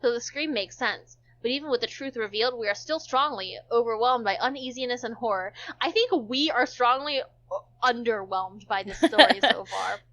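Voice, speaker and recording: Female amateur narrator for a game stream, casual reader, bright and slightly high, muffled recording. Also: normal speed. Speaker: host and narrator of a visual novel stream. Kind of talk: amateur read-aloud narration with casual asides.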